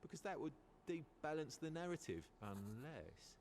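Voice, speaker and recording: A man's voice: fairly high pitch, very animated, moderate pace, a little mellow, a little hiss or hum. An actor playing a sly, chatty hoofed guide in a video game, theatrical and patronizing as he explains. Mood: patronizing, conspiratorial. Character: sly, chatty male guide with hooves, smugly evasive.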